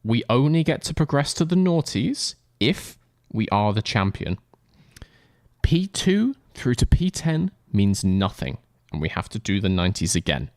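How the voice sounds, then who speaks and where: Lively playful male game streamer. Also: fairly low pitch, a little slow, no room sound, clean studio sound. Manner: playful, jokey, talks to chat. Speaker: streamer playing a racing game. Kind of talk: live gameplay commentary.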